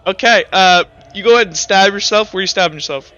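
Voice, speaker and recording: A high-pitched man acts as game master, improvising scenes for his players. His delivery is bright and casual, with amused, sweary asides, and faint music plays behind him. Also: fast talker, muffled recording. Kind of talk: improvised narration of a tabletop roleplaying game.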